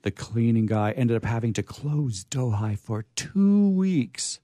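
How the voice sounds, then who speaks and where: Animated man hosting with theatrical flair. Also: mid-range voice, unhurried, no room sound, studio quality. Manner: theatrical, playful delivery. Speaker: radio show host. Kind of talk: reading short comic stories aloud and chatting as host. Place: radio studio.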